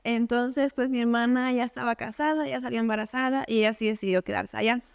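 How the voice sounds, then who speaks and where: A woman's voice: on the high side, fairly even intonation, a little fast, creaky. A young woman from Cuba, talking casually as an interview guest and padding her speech with fillers. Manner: conversational, many fillers like "o sea" and "pues".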